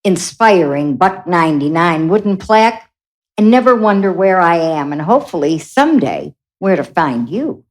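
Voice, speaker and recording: Folksy cowgirl mom, slightly low voice, wistful, heartfelt, plucky, radio drama. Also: sing-song, normal speed. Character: departed mother, wistful plucky cowgirl type. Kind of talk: radio play acting.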